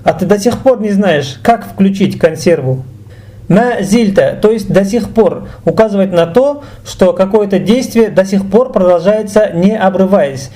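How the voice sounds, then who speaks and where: A man with a high, mellow voice delivers a language lesson. He explains and translates in deliberate phrases, with a relaxed, instructional tone. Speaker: Arabic language teacher.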